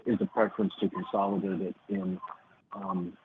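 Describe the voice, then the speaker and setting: A man calling in as a financial analyst, asking questions in an informal, halting way. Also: low-pitched, flat delivery. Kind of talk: casual questioning on earnings call. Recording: tinny phone line, clear background noise.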